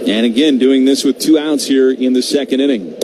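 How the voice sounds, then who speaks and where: Male baseball radio announcer, mid-pitched, conversational yet excitable, clear stadium noise behind. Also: fairly quick. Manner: excited home-team calls, conversational between pitches. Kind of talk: live radio play-by-play commentary. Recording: noisy live radio broadcast feed.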